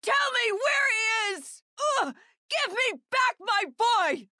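A woman's voice: extremely high pitch, animated, slow pace, sharp tone, no room sound, clean studio sound, heavily acted. A woman playing a frightened, desperate mother in a survival horror video game, with pleading, gasping delivery. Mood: enraged. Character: desperate, frightened mother searching for her missing son.

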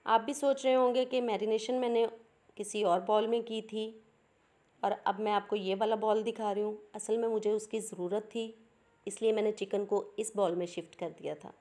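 Mellow-voiced woman explaining a recipe. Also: medium pitch, steady tone, normal speed. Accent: Pakistani Urdu. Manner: patient, explains each step plainly. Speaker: cooking channel host. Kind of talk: step-by-step cooking instructions.

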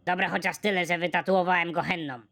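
A performer voicing a naive, hapless young male hero who narrates an animated comedy in a matter-of-fact, deadpan way. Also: mid-range voice, quick pace, very noisy, natural voice. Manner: matter-of-fact, deadpan delivery of absurd jokes. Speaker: narrator and protagonist.

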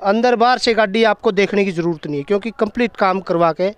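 A man's voice: high-pitched, quick pace, clear, resonant tone, no room sound. A man, hosting a casual walkaround of a used car lot outdoors, chatting and talking up prices into a handheld mic. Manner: chatty, hyping prices, asks dealer questions. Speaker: host of a used car channel. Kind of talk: casual walkaround sales video.

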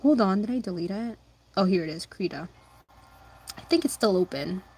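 A young woman chatting casually with her viewers while drawing, answering chat and humming along, her voice slightly hoarse. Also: mid-range voice, animated, moderate pace, darkish tone, slight background noise. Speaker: livestreamer drawing anime fan art.

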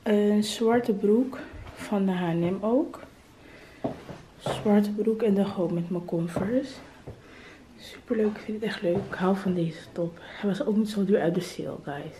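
A woman's voice: medium pitch, measured pace, mellow, echoey room, a little hiss or hum. A woman in her early twenties chatting casually to camera in an informal vlog, mixing in English slang. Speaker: vlogger. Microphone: camera at arm's length.

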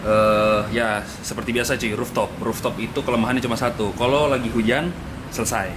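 A man's voice: medium pitch, fairly even intonation, fairly quick, over music. A young man with a Jakarta Indonesian accent chatting casually to a camera held at arm's length, slangy and chummy like a vlogger. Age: young adult. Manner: slangy, chummy address to viewers. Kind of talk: casual vlog chat to camera. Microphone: handheld camera at arm's length.

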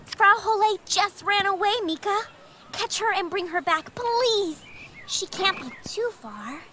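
A woman voicing an excitable young girl in a video game, with an eager, exclamatory delivery. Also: very high voice, a little slow, a little hiss or hum, full character voice. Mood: worried, urgent.

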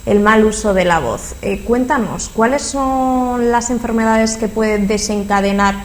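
A woman's voice: a little high, unhurried, slight background noise. Young Spanish woman interviewing on local TV. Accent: Spanish from Spain. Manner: formal, scripted openings with hesitant fillers. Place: local TV studio.

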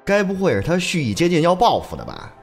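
A man's voice: fairly high pitch, huge pitch swings, fast, vocal fry, faint music behind, heavily acted. A man playing a loyal, cocky gang henchman in an audio drama, chatty and colloquial. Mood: sardonic, suspicious.

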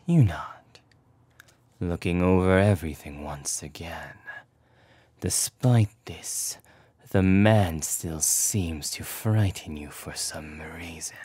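Very slow, whispery low-voiced man. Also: no echo. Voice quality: breathy, whispery. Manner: slow, hushed, with long pauses. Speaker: voice actor narrating an audio roleplay. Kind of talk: whispered ASMR storytelling, scripted narration.

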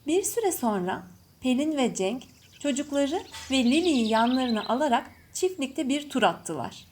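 A woman storyteller reading a scripted children's bedtime story in measured phrases. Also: sits high, darkish tone. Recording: faint background noise with birdsong. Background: birds chirping.

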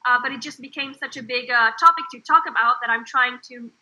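A woman from Israel, a startup founder, answering interview questions in a high voice, pausing often with fillers and restarts. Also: sharp tone. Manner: hesitant, many fillers and restarts.

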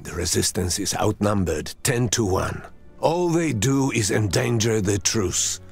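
A man playing a stern, cautious middle-aged father in a video game, gravelly and husky, grave and commanding. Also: fairly low pitch, lively intonation, measured pace, faint music behind, exaggerated voice. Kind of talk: cinematic video game acting.

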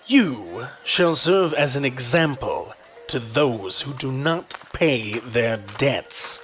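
An actor playing a menacing, smooth-talking crime boss in an audio drama, with an oily, condescending manner. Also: male voice, mid-range voice, expressive, slow, fairly bright tone.

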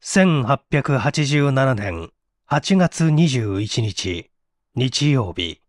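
A man's voice: medium pitch, very animated, very slow, no room sound, studio quality. A man voicing a remorseful condemned young man giving a solemn, formal confession in an audio storybook. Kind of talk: dramatic monologue in audio storybook.

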